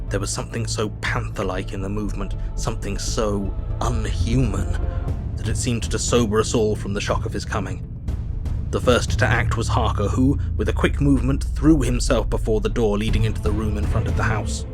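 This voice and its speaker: An actor playing a sober gentleman who recounts a horror story in measured, literary first-person narration. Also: fairly low pitch, lightly acted. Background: clear background music.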